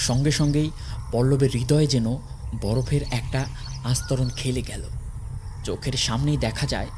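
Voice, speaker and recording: A man's voice: mid-range voice, noisy background. A man narrating a horror story in a dramatic, scripted storytelling style.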